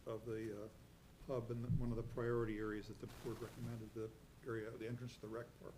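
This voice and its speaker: A man on a town selectboard, speaking deliberately in a public meeting and reading proposed wording aloud. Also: lowish voice, monotone, warm tone, a little hiss or hum, rough recording. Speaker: selectboard member.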